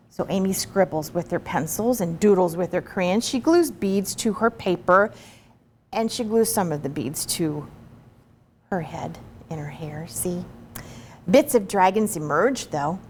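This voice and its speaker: A woman giving an expressive, chatty and encouraging read-aloud of a children's picture book, with asides to the listeners. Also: mid-range voice, a little hiss or hum. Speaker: story reader.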